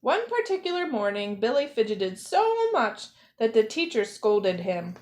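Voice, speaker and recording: Slow-paced woman reading a children's story. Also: fairly high pitch, very animated, darkish tone, a little hiss or hum. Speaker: storyteller, zoo educator. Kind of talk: children's picture-book read-aloud.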